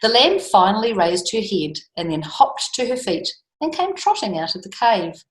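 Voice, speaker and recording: A woman's voice: medium pitch, big pitch swings. A woman reading a picture book to children, expressively, drawing out key words and adding chatty asides. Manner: emphatic, drawn-out key words, questions to young listeners. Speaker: children's storytime reader. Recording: echoey room.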